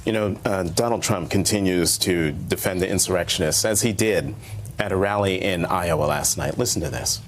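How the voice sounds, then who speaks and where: A male news show host conducting a broadcast interview in a measured, earnest way. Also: low-pitched, a little hiss or hum.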